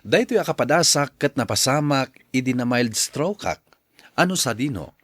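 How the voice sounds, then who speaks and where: A man's voice: medium pitch, animated, moderate pace, a bit bright, no echo, clean studio sound. A man announcing and narrating a radio drama program in a formal, theatrical scripted style.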